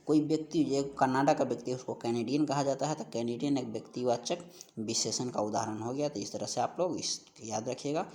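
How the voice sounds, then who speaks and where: A man with a medium-pitched voice gives an exam-prep lesson. He works through practice questions in an instructive way, saying questions and answers over again so that they stick. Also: a little mellow, a little hiss or hum. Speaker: Hindi grammar teacher for exam preparation.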